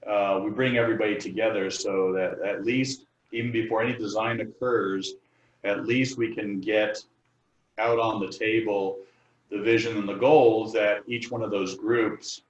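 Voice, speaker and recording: A middle-aged man from the New York area talks through an interview in halting bursts, circling back with fillers and restarts. His voice sits on the low side. The recording is dull and roomy, caught from a distance. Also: steady tone. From New York area, United States. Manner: hesitant, many fillers and restarts. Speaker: architect, firm co-founder. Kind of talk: interview answers.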